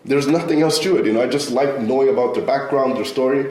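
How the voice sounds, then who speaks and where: A man's voice: mid-range voice, fast, darkish tone, echoey room, low-quality recording. A man from Brazil, partly raised in the United States, tells his story as an enthusiastic guest in a casual podcast chat, repeating words for emphasis. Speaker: podcast guest, tech recruiter.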